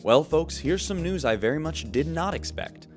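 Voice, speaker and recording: An actor playing a polished, wry shipboard radio news reporter in a sci-fi audio drama. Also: male voice, a little high, lively intonation, moderate pace, faint music behind. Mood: wry, brisk. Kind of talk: scripted radio news report with dry humor.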